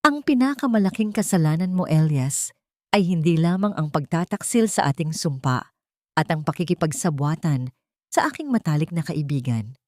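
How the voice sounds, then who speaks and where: A woman's voice: sits low, expressive, moderate pace, dry recording, studio quality. A woman voicing a cold, resolute wife. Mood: cold firm. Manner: cold and firm.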